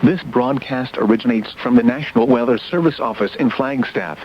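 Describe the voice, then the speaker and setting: A man reading scripted weather radio station identifications in a formal, measured way, like a public service announcer. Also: medium pitch. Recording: muffled, noisy weather radio broadcast.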